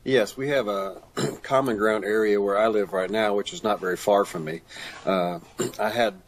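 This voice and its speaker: A man's voice: on the low side, moderate pace, slight background noise. An older man answering questions as a guest author in a conversational interview. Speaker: guest author, retired pastor and teacher.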